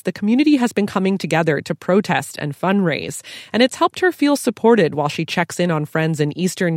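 A woman reporter narrating a news feature in a measured, even way. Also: on the low side, dry recording, studio quality.

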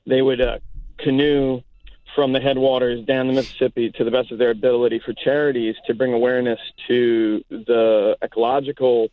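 A man from South Louisiana whose first language is Cajun French, calling in to a radio show and rambling with many pauses. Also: medium pitch, little pitch movement, no echo. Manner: rambling, with many ums and uhs. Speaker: caller. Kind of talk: call-in radio chat. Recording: tinny phone line.

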